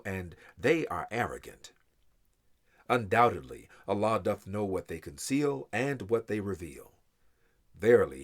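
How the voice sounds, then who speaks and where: A man reading translated scripture aloud in a solemn, measured and deliberate way, with dramatic emphasis and pauses. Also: on the low side. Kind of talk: solemn scripture recitation in English.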